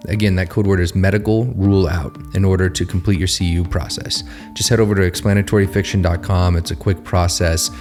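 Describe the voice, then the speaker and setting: A man with a mellow, fairly low voice reads a scripted podcast announcement at a quick clip. Faint music plays behind him. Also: fairly even intonation.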